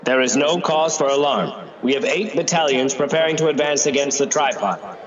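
Brisk, authoritative man playing an army commander. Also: medium pitch, expressive, lo-fi sound, a crowd in the background, close to an everyday voice. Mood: confident, brisk. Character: confident male army commander in battle. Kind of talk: 1930s radio drama acting.

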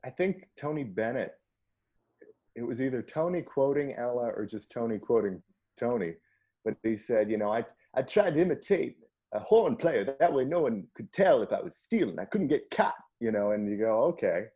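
A man with a low baritone voice, chatting casually about singing and his influences, with many ums and easy laughs. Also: very animated, normal speed, warm tone, slightly rough. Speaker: singer. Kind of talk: casual conversation.

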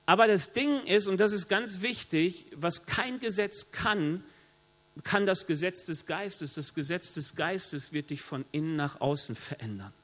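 A middle-aged man with a cold, preaching a sermon in a lively, conversational way with joking asides. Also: high voice, unhurried. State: has a cold. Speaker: pastor. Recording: long hall reverb.

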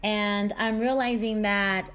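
A woman's voice: medium pitch, steady tone, slow pace, fairly bright tone, faint music behind. A woman in her thirties talking candidly in a casual personal vlog, chatty and loose with slang and the odd swear word. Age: 30s. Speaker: vlogger.

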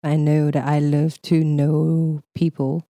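A woman's voice: sits low, flat delivery, slow pace, dark tone, no room sound. A young Thai woman with a Thai accent, speaking English as a second language in a casual, chatty interview and laughing often. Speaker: guest.

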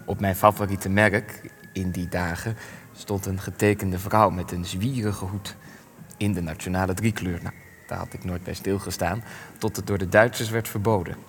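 An actor playing a frail old war veteran in a radio drama, wistful and bitter, musing hesitantly and stumbling over repeated words. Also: male voice, low-pitched, fairly even intonation, normal speed, in a large hall, a little hiss or hum. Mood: wistful, reminiscing. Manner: hesitant, rambling, musing, with stammered repetitions.

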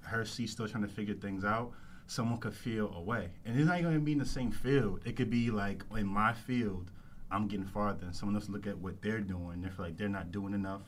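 A man's voice: on the low side, brisk, a little hiss or hum. A man who is a guest on a podcast, thinking aloud in a casual chat and repeating words as he restarts his sentences. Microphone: studio microphone.

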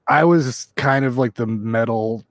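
A man's voice: medium pitch, unhurried, no room sound. A man in his forties talking casually as a podcast guest, laid-back and swearing freely. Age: 40s. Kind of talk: casual chat.

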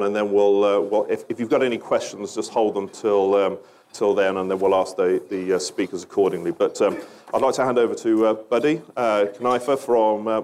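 A man's voice: lowish voice, a little mellow. A middle-aged British man giving informal, slightly hesitant, self-deprecating opening remarks as a panel moderator.

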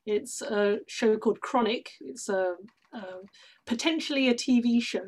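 A woman's voice: a little high, a little slow, a little mellow. A woman from London chatting casually as a guest on a podcast. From London, England. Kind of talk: casual chat.

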